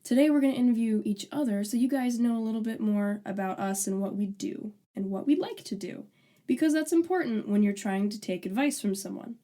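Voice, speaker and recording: Mellow-voiced young woman, joking and casual. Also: fairly high pitch, brisk. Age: 20s. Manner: casual and joking, laughs easily. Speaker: podcast co-host, alternative medicine student. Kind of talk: casual chat.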